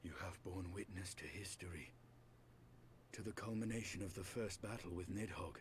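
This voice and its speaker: Man acting a deep, grave dragoon knight. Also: moderate pace, a little hiss or hum, natural voice. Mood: solemn. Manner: formal, archaic, storytelling. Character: grave, proud dragoon knight, archaic and solemn. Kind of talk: dramatic reading of fantasy game dialogue.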